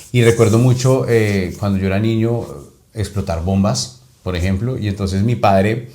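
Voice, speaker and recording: A Colombian man in his thirties with a Bogotá accent speaks in a fairly low voice. He talks his way through his story as a podcast guest, stopping often to restart with fillers. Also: darkish tone. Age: 30s. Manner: hesitant, many fillers and restarts. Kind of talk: casual interview.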